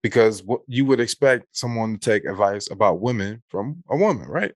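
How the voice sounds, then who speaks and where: Low-voiced American man, opinionated podcast chat. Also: expressive, moderate pace, dry recording, clean studio sound. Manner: opinionated, colloquial, mildly profane. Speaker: podcast co-host. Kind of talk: casual unscripted chat.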